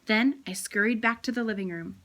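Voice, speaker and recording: A woman's voice: medium pitch, a little fast, fairly bright tone, natural voice. A woman voicing a small, eager male pet hamster who tells his adventures in a playful storybook manner.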